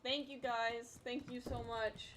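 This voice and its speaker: High-voiced woman chatting on a game stream. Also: little pitch movement, slow pace, heavy background noise. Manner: informal, mild swearing, chatty with viewers. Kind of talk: casual game-stream chat. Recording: loud game gunfire and music behind. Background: video game gunfire and music.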